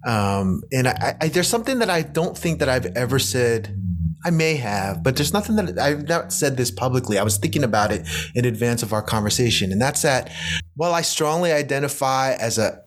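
An American man in casual conversation, speaking at an ordinary middle pitch. He thinks aloud, circling back over his phrases with small restarts and soft laughter. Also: animated. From United States. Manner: reflective, halting with frequent restarts and laughs. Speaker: poet and guest.

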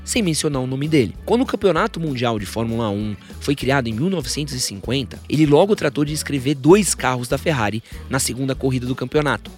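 Fast-talking São podcast host. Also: male voice, mid-range voice, animated, faint music behind. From São Paulo, Brazil. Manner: joking asides, informal. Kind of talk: scripted biographical podcast narration. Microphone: studio podcast microphone.

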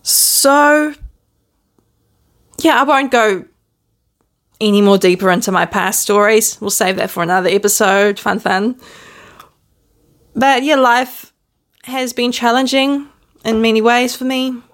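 A woman with a New Zealand accent hosting her own podcast, talking to camera in a gentle, candid, self-deprecating way, filmed at home. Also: on the high side, unhurried, pauses often. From born in the Netherlands, raised in New Zealand. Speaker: podcast host. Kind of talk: casual reflective monologue to camera. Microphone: self-filmed video camera.

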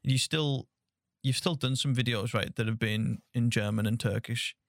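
A man's voice: mid-range voice, steady tone, moderate pace, vocal fry, no room sound, studio quality. A young man hosting a podcast, chatting in a friendly, filler-heavy way. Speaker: podcast host. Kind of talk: casual interview chat.

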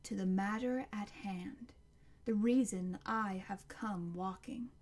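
A woman's voice: medium pitch, darkish tone. A woman voicing an ancient, towering tree monster in a storybook, proud and menacing, speaking in a grand, declamatory way. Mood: grave, portentous. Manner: grand, slow, declamatory, building to a roar.

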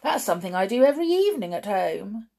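A woman voicing a loud-mouthed, greedy boy who has been turned into a mouse, brash and indignant. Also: mid-range voice, sing-song, a little slow, breathy edge.

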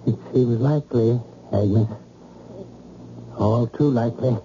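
A man playing a concerned, courteous doctor in an old-time radio drama, measured and formal. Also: medium pitch, close to an everyday voice. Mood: grave. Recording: dull, hissy old radio recording.

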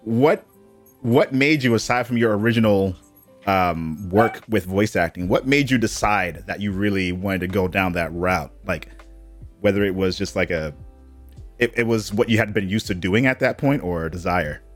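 A man hosting a podcast, asking questions in a casual, halting and roundabout way. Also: on the low side, faint music behind. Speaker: podcast host.

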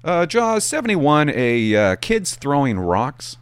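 A man's voice: on the high side, huge pitch swings, slow pace, clean tone, no echo, voice pushed up, exaggerated voice. A man voicing a curt, put-upon female police dispatcher giving clipped, hesitant radio calls in an anecdote.

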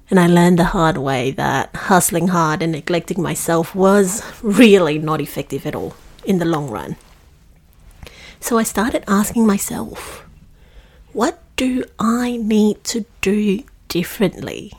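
A woman's voice: lowish voice, darkish tone. A woman in her thirties from Singapore, hosting her own podcast as a teacher wellbeing coach in an earnest, reflective monologue on a close mic.

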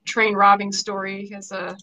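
A woman speaking as a podcast guest in casual chat. She hesitates often and fills pauses with ums. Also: medium pitch, monotone, bright, forceful tone.

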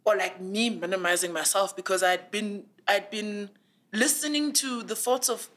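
A woman's voice: mid-range voice, moderate pace. A young woman in her twenties with a South African accent, talking earnestly and with feeling in a casual interview, with lots of "like" fillers. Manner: earnest, animated storytelling with many "like" fillers. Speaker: guest.

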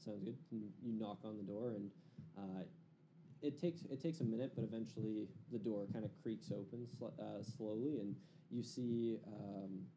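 A man with a fairly low voice improvises as a tabletop game master. His delivery is casual and halting, and there is clear noise in the recording. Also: fairly even intonation.

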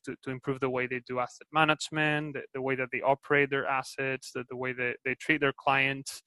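A man's voice: mid-range voice, sharp tone, no room sound, poor audio. A man from Spain with a Spanish accent, answering interview questions in a hesitant way with many restarts and fillers. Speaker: guest, company executive.

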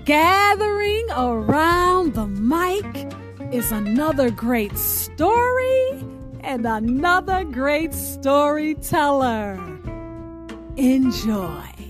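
Woman radio host, high voice, slow measured delivery of a show intro, music underneath. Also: very animated. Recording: music playing behind the voice.

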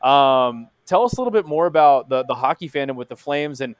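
A man's voice: mid-range voice, animated, fairly quick, no room sound. A man hosting a podcast, asking questions in a loose, easygoing way with lots of fillers. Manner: easygoing, filler-heavy, chatty. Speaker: podcast host. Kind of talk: casual podcast interview.

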